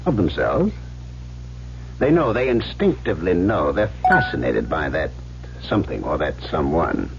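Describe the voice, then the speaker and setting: A man's voice: sits low, sing-song, normal speed, over music, heavily acted. An actor playing a cocky, silver-tongued gangster in an old-time radio drama, teasing and insistent as he works on his listener. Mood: seductive, probing. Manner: smooth-talking, insistent, theatrical, teasing. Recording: muffled vintage radio broadcast, faint hum.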